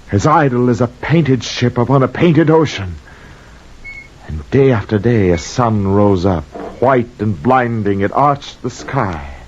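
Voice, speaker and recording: An actor playing a guilt-ridden sailor telling his tale in solemn, archaic words in old-time radio drama style. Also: fairly low pitch. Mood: haunted, grave. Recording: muffled, noisy vintage radio recording.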